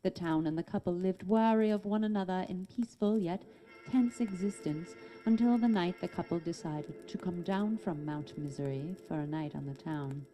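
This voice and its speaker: A woman narrates a story in a very dark, mellow voice. It sits a little low and follows a scripted storytelling style. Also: moderate pace, soft background music, low-quality recording.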